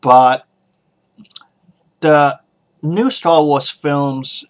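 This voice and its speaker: Man thinking aloud in halting podcast talk. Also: a little high. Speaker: podcast host. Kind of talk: casual solo opinion talk.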